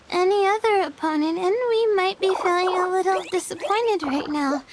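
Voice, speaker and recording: A woman voicing a gentle, modest, earnest teenage girl in a video game, slightly hesitant and friendly. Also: very high voice, slight background noise. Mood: cheerful, content. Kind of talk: animated video game voice acting. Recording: music behind the voice.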